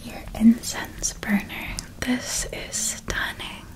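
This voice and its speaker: Slow whispering woman as doting witch big sister. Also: lightly acted, poor audio. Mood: fond, gently teasing. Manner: tender, unhurried, softly admiring. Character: doting, gentle witch, eldest sister, gushing over school supplies. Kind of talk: whispered ASMR roleplay.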